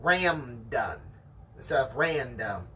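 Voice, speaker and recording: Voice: mid-range voice, animated, slow, bright, forceful tone, far from the mic. A host reading a novel aloud in an amateur, casual storytime style, chatting along the way.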